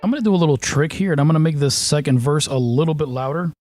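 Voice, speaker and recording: A man's voice: fairly high pitch, quick pace, darkish tone, no echo, soft background music. A man casually talking through a song mix as he works, thinking aloud in a loose, offhand way with lots of fillers. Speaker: mixing engineer. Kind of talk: casual walkthrough of mixing a song.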